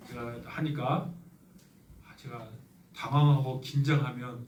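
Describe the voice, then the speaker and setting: Echoey pastor with a Jeolla lilt. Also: male voice, medium pitch, fairly even intonation, measured pace, darkish tone, distant mic, slight background noise. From Yeosu, South Korea. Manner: conversational storytelling with light humor. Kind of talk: sermon. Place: church sanctuary. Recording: echoey church hall from afar.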